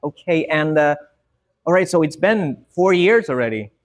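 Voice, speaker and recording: A woman's voice: low voice, expressive, normal speed. A woman interviewing a guest, asking hesitant questions with many fillers.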